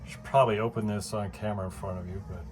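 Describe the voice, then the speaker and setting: A man vlogging casually at home into his phone camera, chatty and excitable, with many fillers and frequent laughs. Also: fairly low pitch, quick pace, music in the background.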